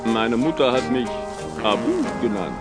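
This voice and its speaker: A big, confident elephant from a children's audiobook. He speaks slowly and deliberately, with lively melody and a gentle temper, over light music. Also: medium pitch. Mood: sad, gentle. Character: large male zoo elephant, gentle, confident, adventurous.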